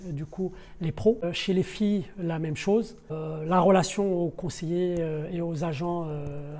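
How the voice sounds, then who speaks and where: Mellow middle-aged man, halting delivery. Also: a little high. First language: not French. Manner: hesitant, searching for words with repeated words. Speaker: deputy sporting director of a football club. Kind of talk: podcast interview, spontaneous explanation.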